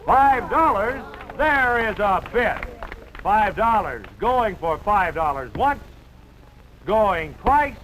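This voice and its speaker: An actor playing a brisk, coaxing American auctioneer at a social event in a 1950s film. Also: male voice, high voice, very animated, a bit bright, a little hiss or hum, heavily acted, low-quality recording. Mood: upbeat, urging.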